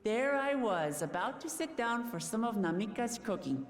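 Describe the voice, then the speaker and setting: A woman's voice: a little high, big pitch swings, normal speed, reverberant, in a large hall, faint music behind. A woman playing a spirited young princess in a video game, a forthright daughter who is easily exasperated. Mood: accusing. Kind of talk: scripted video game voice acting.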